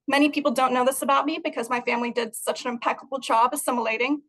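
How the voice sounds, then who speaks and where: A woman's voice: high voice, steady tone, very fast, fairly bright tone, poor audio. A young adult woman from Moldova, giving an earnest, prepared talk about her art over a video call. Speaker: guest artist.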